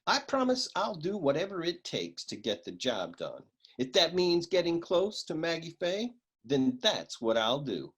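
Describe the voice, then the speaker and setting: A man playing a flippant, self-important private eye with a deadpan manner in a comic detective radio play. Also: fairly high pitch, unhurried, breathy edge, full character voice. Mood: cocky. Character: flippant, dim-witted noir male private eye.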